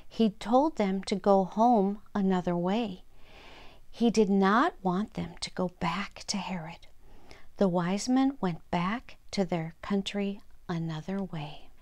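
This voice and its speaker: Slow-speaking older woman telling Bible stories. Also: mid-range voice, darkish tone. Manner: simple short sentences, explaining to young listeners. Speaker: storyteller and host of a children's story program. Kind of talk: Bible storytelling for children.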